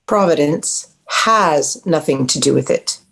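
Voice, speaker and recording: A woman's voice: sits low, expressive, slow pace, creaky, fairly bright tone, exaggerated voice. A woman playing a grandiose, genteel mother and faded stage actress at a screenplay table read, with affected, formal, precise diction. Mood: haughty.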